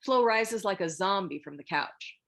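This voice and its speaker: A woman's voice: medium pitch, expressive, normal speed, a bit bright. A woman narrating scripted stage directions for a screenplay reading.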